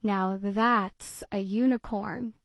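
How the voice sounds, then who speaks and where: A woman reading aloud voices a small, blunt male gecko in a storybook, speaking slowly. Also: mid-range voice, fairly even intonation, gravelly, a bit bright, no echo. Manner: blunt and matter-of-fact.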